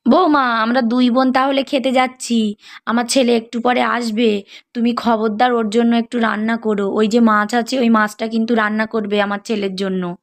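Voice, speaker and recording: A woman voicing a fond elderly village mother-in-law in a cartoon family drama, coaxing and gently reproachful in a homely way. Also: on the high side, little pitch movement, moderate pace, lightly acted. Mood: instructing.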